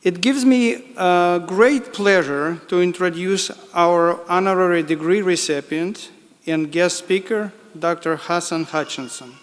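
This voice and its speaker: Slow-speaking man with a Ukrainian accent. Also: sits high, long reverb tail. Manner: slow, careful, halting with small restarts. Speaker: board co-chair introducing the guest speaker. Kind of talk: formal introduction speech. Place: large hall.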